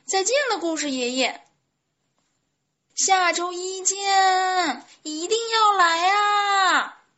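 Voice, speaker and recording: A woman voicing eager, excitable little chicks with childlike, exclaiming speech. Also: normal speed, highs cut off. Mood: excited, pleading. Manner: exclaiming, high-spirited.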